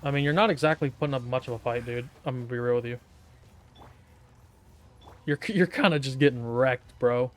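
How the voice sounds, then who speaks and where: A man's voice: mid-range voice, fairly quick, long pauses. A man giving casual, slangy gameplay commentary in a laid-back way. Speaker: gaming streamer.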